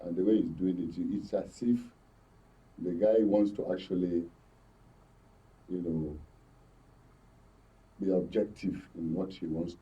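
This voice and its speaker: Low, muffled Nigerian man commenting. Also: steady tone, measured pace, pauses often, mellow. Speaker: studio guest, political commentator. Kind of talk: conversational political commentary. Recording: muffled, far from microphone.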